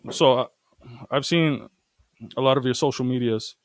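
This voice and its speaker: A man's voice: mid-range voice, normal speed, dry recording, low-quality recording. A man hosting a casual comedy podcast, bantering playfully and swearing freely. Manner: playful, profane. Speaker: podcast host.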